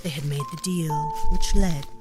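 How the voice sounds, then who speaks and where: A woman playing a knowing, wry storyteller, narrating a dark fantasy audio drama in a deliberate, confiding way. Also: low voice, fairly even intonation, over music. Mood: confiding, ominous.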